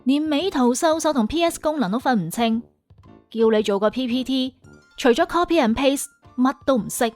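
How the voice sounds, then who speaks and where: A woman's voice: high-pitched, lively intonation, moderate pace, a little mellow, faint music behind. A woman playing a haughty, scathing female job interviewer in an audio drama, lecturing with cutting contempt. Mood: scornful. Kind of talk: Cantonese audio drama acting.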